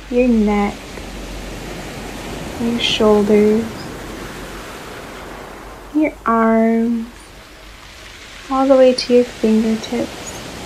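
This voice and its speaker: Woman, meditation guide, soft mellow tone, fairly high voice, soothing, long pauses, faint background hiss. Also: low-quality recording. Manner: soothing, unhurried, with long pauses between short phrases.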